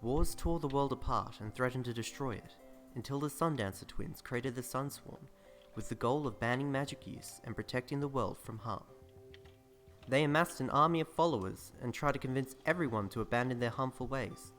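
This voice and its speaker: Man playing solemn lore narrator, video game cutscene, medium pitch, measured storytelling, music behind. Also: fairly bright tone, lightly acted. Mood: grave, foreboding.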